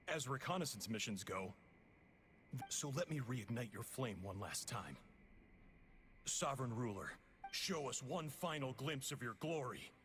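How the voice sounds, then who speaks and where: A man's voice: mid-range voice, sing-song, a little slow, long pauses, a bit bright, a little hiss or hum. A man voicing a cocky, defiant male agent in a video game, with sardonic, theatrical delivery. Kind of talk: dramatic video game voice acting.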